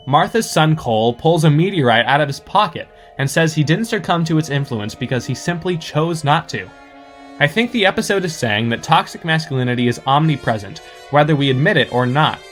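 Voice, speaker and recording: A man with a somewhat high voice delivers prepared, essay-like commentary. He sounds articulate and analytical, with music playing behind him. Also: fairly bright tone. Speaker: listener sending in recorded thoughts.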